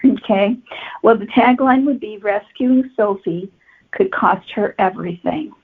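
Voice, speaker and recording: A woman's voice: medium pitch, unhurried, slightly rough. A woman novelist being interviewed on a podcast, explaining her work in a conversational, matter-of-fact way. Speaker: guest novelist. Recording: tinny phone-like line.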